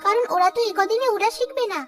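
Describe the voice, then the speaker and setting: A woman's voice: very high voice, fast, soft background music. A woman voicing a clever, bold female bird in a children's cartoon. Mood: persuasive.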